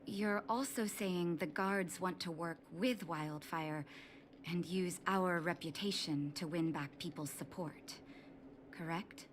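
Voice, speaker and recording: An actress playing a calm, shrewd underworld doctor in a video game, poised and deliberate with a probing manner. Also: female voice, on the low side, slight background noise. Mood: pointed questioning. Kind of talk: scripted video game voice acting.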